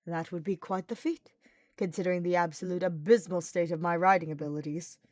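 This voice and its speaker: A woman voicing a grumpy, sardonic male dark mage in an audiobook, speaking in formal, dismissive phrases. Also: lowish voice, steady tone, fairly quick, no echo, studio quality, lightly acted. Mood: withering. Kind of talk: fan fiction audiobook character voice.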